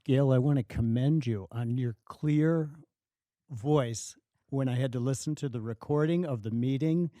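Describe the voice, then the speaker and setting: Man, board member, mellow voice, rambling restarts, dry sound. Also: medium pitch, measured pace, dry recording. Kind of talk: casual remarks in a meeting.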